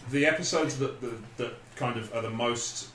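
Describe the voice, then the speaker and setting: Man with bright, echoey voice hosting. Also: mid-range voice, normal speed. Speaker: podcast host. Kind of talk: casual chat. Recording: roomy echo with background noise.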